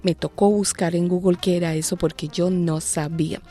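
A woman's voice: fairly low pitch, flat delivery, normal speed, warm tone, slightly rough, faint music behind. A woman hosting a light news segment, reading scripted stories with playful, joking asides. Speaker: news show host. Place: lives in Australia.

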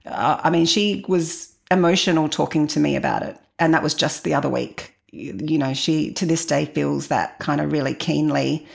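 Low-pitched, creaky-voiced woman in her forties. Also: normal speed, few pauses. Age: 40s. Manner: candid, introspective, conversational. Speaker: interview guest. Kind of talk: reflective personal storytelling.

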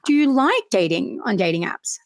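A woman's voice: on the high side, sing-song, moderate pace, dry recording. Playful woman host, dry studio sound. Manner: friendly, playful, encouraging questions. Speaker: podcast host. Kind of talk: casual interview chat.

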